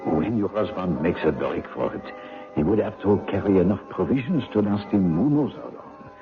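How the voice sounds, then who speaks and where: A shrewd officer in a vintage radio thriller. His low voice swings expressively, deliberate and conspiratorial. It comes through thin, tinny broadcast sound. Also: expressive. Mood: calculating. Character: shrewd, loyal French officer plotting an escape. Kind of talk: old-time radio drama acting. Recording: tinny, band-limited old radio broadcast, music.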